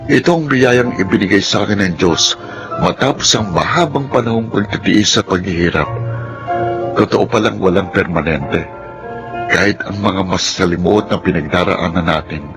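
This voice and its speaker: A man with a Filipino accent playing a gentle, grateful young man in a radio drama. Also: low-pitched. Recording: music bed behind the voice.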